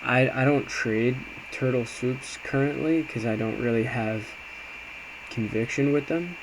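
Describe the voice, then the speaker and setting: A man's voice: medium pitch, a little slow. A man giving an informal, hesitant, self-reflective trade recap as an amateur day trader, talking casually as he walks through a screen. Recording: clear steady background noise.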